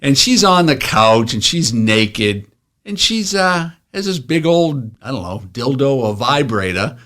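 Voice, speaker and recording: A man's voice: mid-range voice, very animated, unhurried, a bit bright. A man telling police anecdotes in a casual, blunt, joking way with some swearing, like an officer recalling the job. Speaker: retired police officer telling stories on a show.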